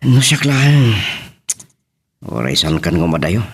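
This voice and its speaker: Male actor as anxious farmer husband, radio drama; low rough voice, very varied intonation. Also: very animated, slow, exaggerated voice. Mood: doubtful. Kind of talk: amateur radio-style drama acting.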